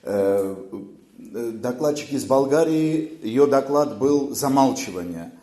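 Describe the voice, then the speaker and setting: Male audience questioner, halting and full of fillers, mellow voice, roomy sound. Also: medium pitch, lively intonation. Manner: hesitant, many filler sounds. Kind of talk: conference question. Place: conference hall.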